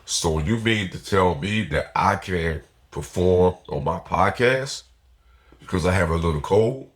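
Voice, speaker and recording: A man's voice: low-pitched, expressive, a little slow. A man hosting a motivational podcast, sounding nasal and foggy from a cold, speaking with earnest emphasis. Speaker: podcast host.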